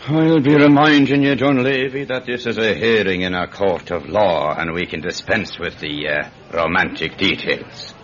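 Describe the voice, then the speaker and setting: An actor playing an impatient, dry-witted Irish judge in a classic radio drama, brusque and authoritative. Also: male voice, deep voice, fairly even intonation, moderate pace, hardly any pauses, fairly bright tone. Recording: dull vintage radio recording.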